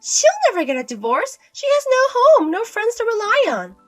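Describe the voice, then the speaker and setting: A woman voicing a scheming older mother-in-law who talks in a shrill, scornful, domineering way. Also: big pitch swings, normal speed, faint music behind.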